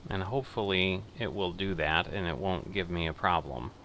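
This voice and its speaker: A middle-aged man with a low, bright voice talks slowly through a game. He thinks aloud casually and reads out controller commands, with faint noise behind him. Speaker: gaming channel host playing air traffic controller. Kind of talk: casual gameplay commentary with radio commands.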